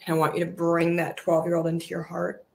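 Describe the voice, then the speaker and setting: A woman leading a guided affirmation meditation, speaking softly and soothingly with slow, repetitive phrases. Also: on the low side, completely flat delivery, creaky, slight background noise.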